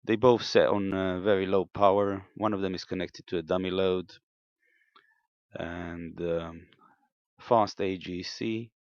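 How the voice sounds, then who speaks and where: Low, creaky-voiced man, halting delivery. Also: steady tone, no echo, dull audio. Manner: hesitant, halting, step-by-step delivery. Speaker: amateur radio operator demonstrating equipment. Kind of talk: unscripted technical demonstration.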